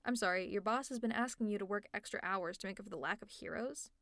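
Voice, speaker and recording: A woman's voice: mid-range voice, quick pace, a bit bright, no echo, studio quality. A woman performing an audio roleplay as a teasing young villainess who scolds and looks after her exhausted rival. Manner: teasing, exasperated and concerned. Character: teasing caring female villain, young adult. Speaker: ASMR roleplay creator.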